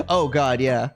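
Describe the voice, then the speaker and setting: A woman streaming live, chatting casually and wryly as she reads a visual novel aloud. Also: extremely low pitch. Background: game music in the background.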